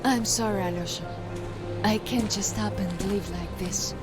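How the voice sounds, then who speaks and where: A woman playing a proud, quietly fond young villager in a video game, speaking earnestly. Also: medium pitch, a little slow, loud music behind the voice, natural voice. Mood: regretful, tender.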